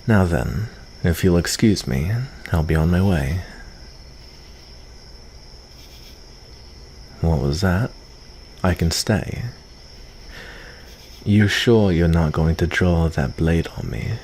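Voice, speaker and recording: A man playing a calm, lonely, guarded vampire of the forest in an ASMR roleplay, with formal, dryly teasing phrasing. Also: low voice, a little hiss or hum. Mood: calm, dismissive. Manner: unhurried, soft-spoken formal phrasing with dry teasing. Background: cricket ambience.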